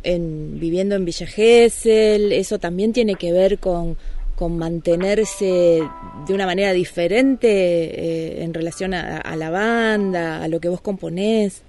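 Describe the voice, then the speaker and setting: A woman, interviewing, asking questions in a halting way with many short affirmations. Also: on the low side, dark tone, soft background music.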